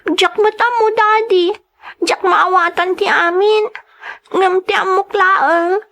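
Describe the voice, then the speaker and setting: A woman's voice: very high-pitched, steady tone, slow, a bit bright, heavily acted. A woman with an Ilocano accent playing a sorrowful, dutiful young daughter, apologetic and plaintive, in a radio drama. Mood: sad, apologetic.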